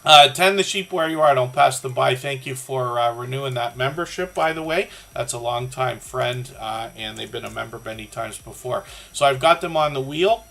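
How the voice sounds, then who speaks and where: A man's voice: mid-range voice, normal speed, vocal fry, hardly any pauses, fairly bright tone. A Canadian man with a slightly scratchy voice hosting a casual livestream, rambling along in a friendly way as he talks to his chat. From southern Ontario, Canada. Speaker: livestream host of a creator community channel.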